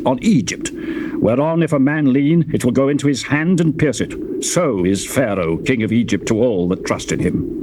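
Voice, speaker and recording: A man's voice: medium pitch, background noise, lightly acted. A man playing a boastful, menacing Assyrian envoy in a dramatized scripture recording, speaking in taunting, scornful oratory.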